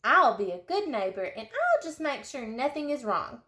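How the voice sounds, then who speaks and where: Woman voicing a lively, curious girl duck. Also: high voice, normal speed, echoey room, full character voice. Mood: carefree. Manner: exclamatory, dramatic.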